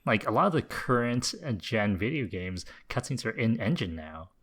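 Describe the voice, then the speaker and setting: Bright, forceful low-voiced man chatting. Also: very animated, normal speed. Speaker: podcast co-host. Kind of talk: casual debate about video games.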